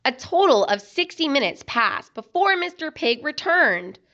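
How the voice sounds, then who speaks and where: A woman reads a children's story aloud with lively, swooping pitch. She takes her time and delivers each phrase deliberately. The home recording is slightly dull. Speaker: children's book reader. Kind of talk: expressive read-aloud storytelling.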